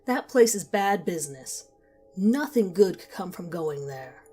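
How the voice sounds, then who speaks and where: A woman voicing a worried, kind middle-aged mother who speaks in a hushed, warning way. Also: fairly low pitch, animated, unhurried, slightly rough, soft background music. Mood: ominous warning.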